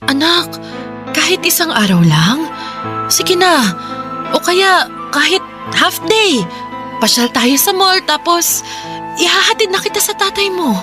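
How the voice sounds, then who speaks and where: A woman's voice: very high voice, very animated, unhurried, long pauses, over music, exaggerated voice. A woman playing a remorseful, emotional mother who pleads and coaxes in a Tagalog radio drama. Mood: hopeful, pleading. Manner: emotional, coaxing, imploring. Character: pleading, remorseful mother longing for her young child.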